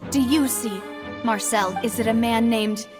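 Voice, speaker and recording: A woman's voice: fairly high pitch, a little slow, close to an everyday voice. An actress playing a determined, impassioned young woman pleading a case in a video game, earnest and declarative. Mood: resolute, accusing. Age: young adult. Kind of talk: English video game voice acting. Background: game music.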